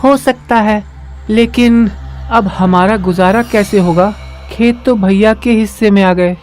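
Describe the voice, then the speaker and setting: A woman's voice: medium pitch, measured pace, darkish tone, faint music behind, close to an everyday voice. A woman voicing a humble, kind young village farmer man in a cartoon story, earnest and respectful. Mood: worried, resigned.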